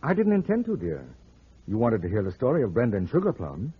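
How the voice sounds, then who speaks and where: An actor playing a shrewd, sardonic private detective in an old-time radio drama. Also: male voice, medium pitch, huge pitch swings, fast, slightly rough, exaggerated voice. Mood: smug, teasing. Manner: sardonic, explaining, teasing. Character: wisecracking, shrewd male private detective, smooth with women. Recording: muffled vintage radio drama recording.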